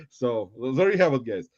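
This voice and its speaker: A man's voice: mid-range voice, darkish tone, no echo, studio quality. Russian-accented man in his forties, chatty. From Uzbekistan. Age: 40s. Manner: rambling, halting, addresses viewers as guys. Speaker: livestream host. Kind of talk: casual livestream chat.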